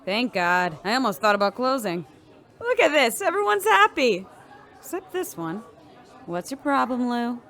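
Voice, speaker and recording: A woman playing a warm, teasing bar owner in a sci-fi audio drama, speaking breezily. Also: fairly high pitch, sing-song, normal speed. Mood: cheerful, teasing. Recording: crowded bar chatter behind. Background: bar party crowd.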